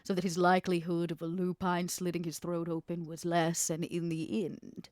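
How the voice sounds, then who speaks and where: Scheming vampire businesswoman acted by a woman, lowish voice, theatrical and insinuating, close dry sound, game podcast. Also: completely flat delivery, normal speed, a little mellow, dry recording, natural voice. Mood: grave, confiding. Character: Vera Volkov, scheming, worldly female vampire businesswoman. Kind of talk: in-character tabletop roleplay.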